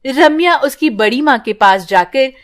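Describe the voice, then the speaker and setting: A woman narrates a children's moral tale in a very high voice. Her pace is slow, and her scripted delivery is sorrowful and dramatic. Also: animated. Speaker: storyteller.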